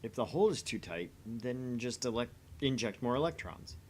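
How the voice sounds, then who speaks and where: A man's voice: medium pitch, animated, moderate pace, a little hiss or hum. A man doing an acted reading of dialogue and narration from a visual novel game. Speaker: voice reader in a visual novel playthrough.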